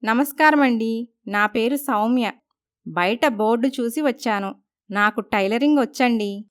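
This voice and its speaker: A woman's voice: a little high, measured pace, clean tone, natural voice. A woman voicing a dutiful, polite young daughter, speaking earnestly in a moral story. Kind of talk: dramatised moral story voice acting.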